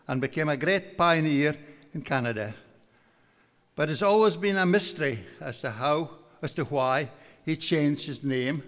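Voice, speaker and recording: An older man from Aberdeenshire with a broad Doric Scottish accent, giving a jovial after-dinner lecture at a stand mic in a large hall. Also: on the high side. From Aberdeenshire, Scotland. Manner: jovial, folksy, reading from notes. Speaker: guest speaker. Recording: long hall reverb.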